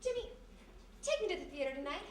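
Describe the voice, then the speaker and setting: A woman playing a perky, quick-thinking detective's sidekick with a coaxing manner, in old-time radio mystery style. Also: sits high, big pitch swings. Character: quick-thinking young female detective's sidekick, former vaudevillian. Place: live performance in a roomy historic house. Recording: echoey live stage, distant mic, hiss.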